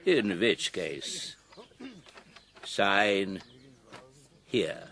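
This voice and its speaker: An actor playing a wily, bullying Prussian recruiting captain with a German accent and gravelly voice in a radio drama. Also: male voice, deep voice, lively intonation, drawn-out words, sharp tone, slight background noise, exaggerated voice. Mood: menacing, smug.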